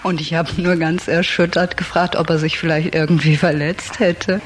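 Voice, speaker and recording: A woman's voice: low voice, flat delivery, normal speed, over music. A woman telling a candid personal anecdote in a colloquial, amused manner.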